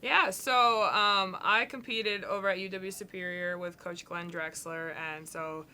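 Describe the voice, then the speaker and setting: A woman's voice: mid-range voice, fairly even intonation, measured pace, sharp tone, slight background noise. A young woman from Minnesota with a Minnesotan accent, a coach answering interview questions in an upbeat, chatty way. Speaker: head track coach.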